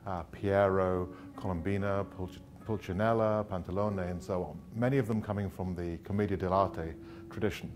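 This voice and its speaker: Deep-voiced middle-aged composer. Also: male voice, steady tone, moderate pace, noisy background. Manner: articulate and descriptive. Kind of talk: interview. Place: room, indoors.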